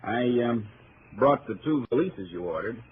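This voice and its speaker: Actor as flustered shopkeeper, tinny radio drama. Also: male voice, fairly low pitch, lively intonation, moderate pace, lightly acted. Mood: friendly, apologetic. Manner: folksy, stammering. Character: chatty, apologetic male luggage shopkeeper, easily flustered. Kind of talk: old-time radio drama acting. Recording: tinny, hissy old radio broadcast.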